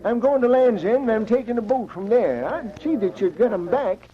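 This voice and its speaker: An actor playing a folksy, gullible gold prospector with rustic frontier speech in an old-time radio drama. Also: male voice, very high-pitched, expressive, normal speed. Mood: friendly. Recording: muffled, noisy old radio recording.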